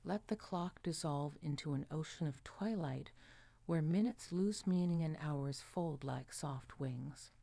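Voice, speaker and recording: A woman narrating a guided sleep hypnosis in a soft, soothing, hushed manner. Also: deep voice, a little slow, vocal fry, dry recording. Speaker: meditation guide.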